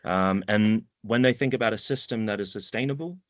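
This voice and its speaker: A man's voice: fairly low pitch, brisk, no room sound, highs cut off. A man with a New Zealand accent giving an informal slide presentation, laid-back and slangy in his way of talking. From central North Island, New Zealand. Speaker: webinar presenter, environmental data worker.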